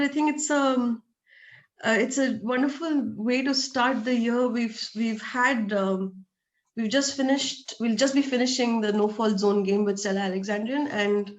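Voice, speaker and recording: A woman hosting an interview over a video call, asking questions gently and restarting her sentences as she searches for words. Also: on the high side, muffled recording. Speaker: host, interviewer. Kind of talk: warm, gently hesitant interviewing.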